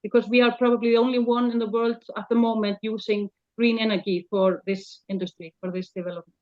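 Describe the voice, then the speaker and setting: A woman founder answers investor questions in halting, non-native English, with frequent fillers. Her voice sits fairly high. Her audio comes through as the dull, lo-fi sound of a video call. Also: little pitch movement. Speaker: startup founder pitching.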